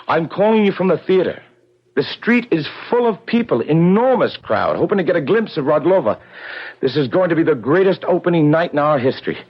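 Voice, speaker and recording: Businesslike theatre aide, lively husky voice. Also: male voice, on the high side, brisk. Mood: excited. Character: brisk, businesslike male aide to a theatre producer. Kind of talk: 1970s radio drama acting. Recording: dull old 1970s radio broadcast.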